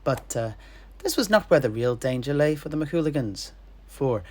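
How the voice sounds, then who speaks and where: A man narrating a fantasy battle tale in character, theatrically and with deliberate, dramatic pauses. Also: mid-range voice, expressive, slight background noise. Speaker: storyteller guest in an in-character fantasy chat.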